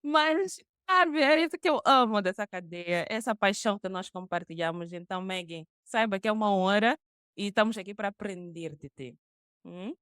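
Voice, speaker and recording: A woman's voice: medium pitch, animated, normal speed, a bit bright, clear, resonant tone, no room sound. Woman podcast host, close dry sound. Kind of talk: casual podcast interview.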